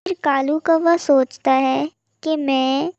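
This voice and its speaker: Slow-paced woman narrating children's stories. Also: sits high, steady tone, darkish tone. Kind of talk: scripted children's story narration. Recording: muffled lo-fi audio with echo.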